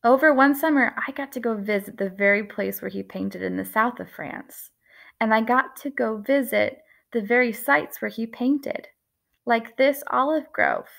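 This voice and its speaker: A woman in her thirties teaches an art lesson to young children, her voice a little high with a gravelly fry at phrase ends. She speaks gently and expressively, stretching out key words for emphasis. She is close to a webcam in a small room. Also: animated, moderate pace.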